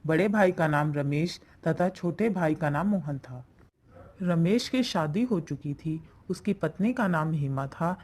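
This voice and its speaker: A woman's voice: low voice, animated, a little slow, a little mellow. A woman reading scripted narration as the storyteller of a cartoon fable.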